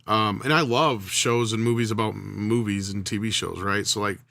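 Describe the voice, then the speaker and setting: A man's voice: lowish voice, steady tone, normal speed, vocal fry, bright, forceful tone, dry recording, clean studio sound. A man in casual conversation, giving candid opinions with some hesitation. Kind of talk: casual chat.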